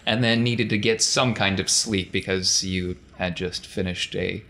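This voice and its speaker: A man's voice: sits low, fairly even intonation, unhurried, pushed and bright. A man hosting an actual play podcast as game master, talking casually and introducing the game.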